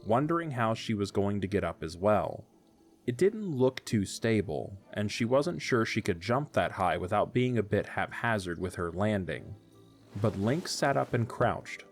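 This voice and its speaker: A man narrating an audio drama in a measured storytelling delivery. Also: fairly low pitch.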